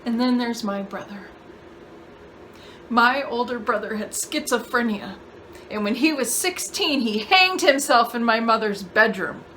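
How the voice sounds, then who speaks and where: A woman acting a grief-worn daughter in a halting, emotionally weighted dramatic monologue. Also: on the high side, fairly bright tone, slight background noise, low-quality recording. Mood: pained, bitter. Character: grief-worn daughter caring for her dying mother.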